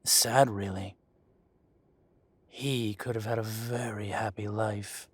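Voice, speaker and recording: A man acting as a possessive dragon shifter mafia boss in a roleplay audio drama, speaking with mock politeness and deliberate, taunting menace. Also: fairly low pitch, fairly even intonation, no room sound. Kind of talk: scripted roleplay audio drama, addressing the listener.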